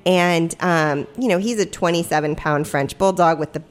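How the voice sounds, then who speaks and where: A woman's voice: on the low side, moderate pace. A woman co-hosting a podcast, telling a story in a chatty, rambling way with frequent laughs. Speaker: podcast co-host. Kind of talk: casual chat, storytelling. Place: small glass-walled podcast studio.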